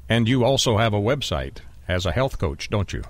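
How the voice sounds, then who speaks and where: A low-voiced man hosting an interview show, measured and courteous. His voice is recorded dry and close, with no room sound. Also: sing-song, no echo. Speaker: radio show host.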